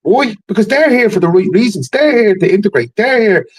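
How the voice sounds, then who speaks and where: A man's voice: high-pitched, big pitch swings, a little fast, husky, no echo. An Irish man in a heated panel debate, blunt and argumentative, swearing and repeating himself for emphasis. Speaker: podcast panellist.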